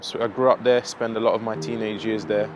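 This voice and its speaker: A young man with a South East London accent tells his life story in a casual, reflective monologue, with measured and hesitant phrasing. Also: lowish voice, little pitch movement, background noise. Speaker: vlogger telling own life story. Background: background music.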